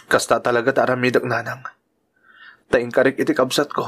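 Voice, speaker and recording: A man acts a dutiful young uncle in an Ilocano drama. His voice sits at a middle pitch, with an earnest, soft-hearted delivery and an Ilocano accent. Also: little pitch movement, brisk, husky. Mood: earnest, sad. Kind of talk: Ilocano audio drama acting.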